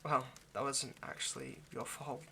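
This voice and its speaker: A lovesick vampire man, centuries old acted by a man, speaks in a bright, forward voice of middling pitch. He stammers and rambles apologetically, close and intimate in ASMR roleplay style. Faint background noise sits behind him. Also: steady tone, unhurried, gravelly, full character voice. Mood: tender confessional.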